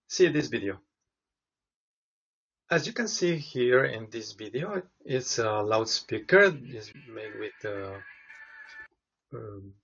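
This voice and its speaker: A man, a professor giving step-by-step instructions in a halting, hesitant way. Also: lowish voice, gravelly, husky, highs cut off. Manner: halting, hesitant delivery with frequent pauses. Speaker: professor, tutorial presenter.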